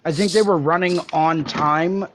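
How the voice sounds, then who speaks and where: A man, a guest tattoo artist, talking about a convention in a relaxed, joking way on a video call. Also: on the high side, unhurried, a little hiss or hum. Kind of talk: casual chat. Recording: dull, lo-fi call audio.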